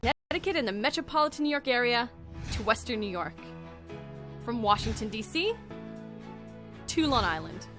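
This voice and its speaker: Female announcer, scripted promo read, slightly high voice, light music bed. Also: animated, moderate pace, pauses often. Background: faint music.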